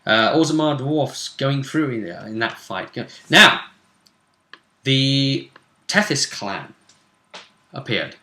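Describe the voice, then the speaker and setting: A man hosting a casual chat about a tabletop role-play game, telling it with emphatic, drawn-out phrasing. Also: medium pitch, lively intonation, bright, forceful tone. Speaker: host. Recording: roomy, echoey room sound.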